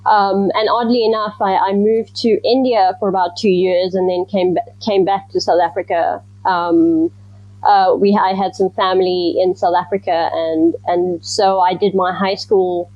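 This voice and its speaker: A woman from Zimbabwe, a researcher answering interview questions in a thoughtful, measured way with frequent ums. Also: medium pitch, little pitch movement, no room sound, highs cut off. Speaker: guest researcher.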